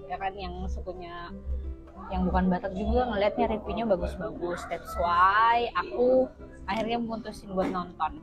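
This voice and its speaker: A woman chatting casually about a film, slipping English phrases into her talk and pausing to search for words. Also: mid-range voice, over music, low-quality recording. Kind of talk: casual film review chat.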